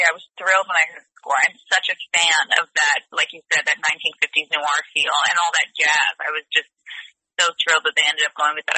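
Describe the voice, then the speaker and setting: A woman's voice: low voice, pushed and bright, slightly rough, no room sound, low-quality recording. A woman voice actress being interviewed, bubbly and gushing, laughing often. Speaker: voice actress guest. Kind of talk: press interview.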